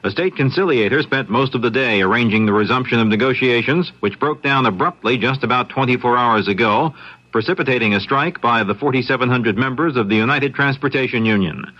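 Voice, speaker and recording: An American man reading a scripted radio news bulletin in a crisp, formal manner. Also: mid-range voice, brisk. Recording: dull old radio broadcast.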